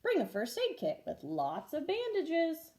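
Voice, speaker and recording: Expressive, high-voiced woman reading a storybook. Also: measured pace. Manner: expressive, clearly paced for children. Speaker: first grade teacher reading stories aloud. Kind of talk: children's storybook read-aloud.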